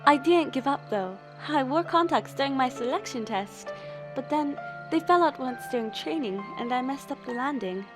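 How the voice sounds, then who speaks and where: A woman's voice: sits high, animated, moderate pace, music in the background. A woman playing an earnest, plucky young military analyst in an audio drama, speaking expressively. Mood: candid, reflective.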